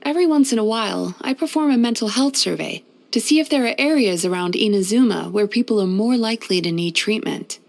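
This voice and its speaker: A woman voicing a gentle, wistful, centuries-old yokai healer in a video game, speaking softly in a measured, polite, reflective way. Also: a little high, creaky. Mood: warm, admiring. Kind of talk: scripted video game character voice-over.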